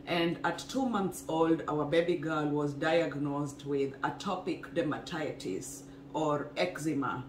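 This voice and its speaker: A woman's voice: sits low, slow, few pauses. Woman in her 30s from Kenya, earnest storyteller on a home video, echoey kitchen. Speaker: co-host, wellness couple. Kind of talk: casual personal story to camera. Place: home kitchen, indoors, small room. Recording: echoey room, faint noise.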